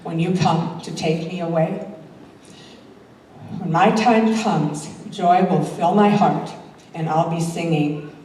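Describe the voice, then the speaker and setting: A woman's voice: on the low side, steady tone, takes it slowly, pauses often, darkish tone. An older woman introducing and reciting her own poetry to a live audience at a church talent show. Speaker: amateur poet reading own poems. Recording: very echoey hall, distant mic, faint noise.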